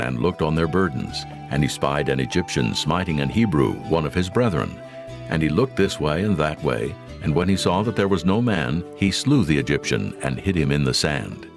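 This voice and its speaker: Extremely deep, gravelly male Bible narrator. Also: very animated, normal speed, music in the background. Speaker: scripture narrator. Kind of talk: scripted dramatized Bible narration.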